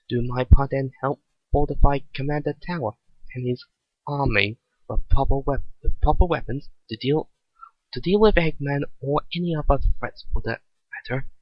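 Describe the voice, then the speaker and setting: A man voicing a determined, caring young female mechanic in a story reading, with earnest, halting delivery. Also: mid-range voice, dry recording, muffled recording, lightly acted. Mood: resolute. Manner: earnest, halting inner monologue.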